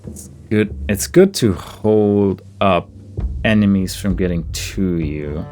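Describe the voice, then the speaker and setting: Low-pitched, unhurried man commentating a game. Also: music in the background. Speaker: video game player and commentator. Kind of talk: casual gameplay commentary, thinking aloud.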